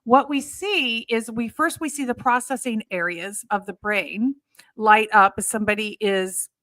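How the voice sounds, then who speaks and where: Woman, podcast host, fairly high voice, dry close sound, explanatory with fillers. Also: moderate pace, fairly bright tone, no room sound. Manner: explanatory, thinks aloud with many fillers. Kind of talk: podcast interview.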